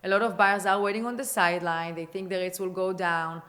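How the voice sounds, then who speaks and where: A woman in her forties with an Israeli accent, a real estate agent talking casually on a podcast, chatty and full of quick restarts. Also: lowish voice, fairly even intonation, fairly bright tone.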